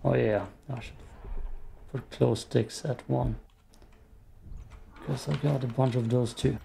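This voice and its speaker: A man's voice: medium pitch, fairly even intonation, takes it slowly, vocal fry, dark tone, a little hiss or hum. A man with an Austrian accent casually commenting on a horror game as he plays, muttering frustrated asides to himself. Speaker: gameplay streamer. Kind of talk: casual let's play commentary.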